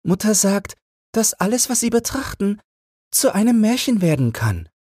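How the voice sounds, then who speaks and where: Man putting on a high-pitched eager little boy. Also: very animated, normal speed, darkish tone, husky, no room sound, clean studio sound, heavily acted. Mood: eager, curious. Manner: eager, childlike. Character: small curious, eager boy begging for stories.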